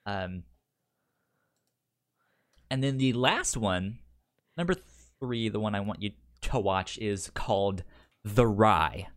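A man's voice: on the low side, expressive, slow pace, pauses often. Stuttering man in casual podcast chat. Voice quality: stutters, repeating first syllables of words. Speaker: podcast co-host.

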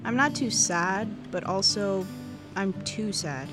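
A woman's voice: medium pitch, takes it slowly, close to an everyday voice. A woman playing a wistful, awkward seventeen-year-old girl who muses hesitantly into an audio diary in a fiction podcast. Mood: musing. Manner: reflective, hesitant, self-correcting teenage musing. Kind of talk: intimate first-person cassette diary narration. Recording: music under the voice. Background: guitar music.

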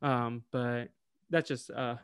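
A man co-hosting a podcast, recapping a cartoon episode in casual chat, animated and laughing often. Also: mid-range voice, slow pace, smooth, no echo, clean studio sound. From United States. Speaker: podcast co-host.

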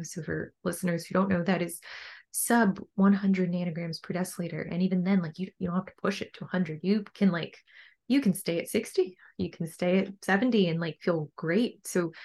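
A young adult woman with a low voice, talking casually and earnestly as a coach being interviewed on a podcast. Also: creaky, a little mellow. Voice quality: low-pitched voice. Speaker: bodybuilding coach and podcast guest.

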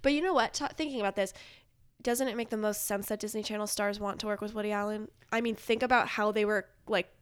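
A woman chatting casually about pop culture, retelling things in an animated way with quoted asides. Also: medium pitch, quick pace, clean tone. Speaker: podcast guest.

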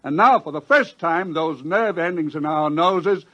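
Know-it-all radio scientist, dull lofi sound, raspy, emphatic explaining. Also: male voice, on the high side, unhurried, fairly bright tone. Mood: impatient, grimly certain. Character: matter-of-fact, impatient male scientist explaining grim findings. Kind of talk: old radio drama acting.

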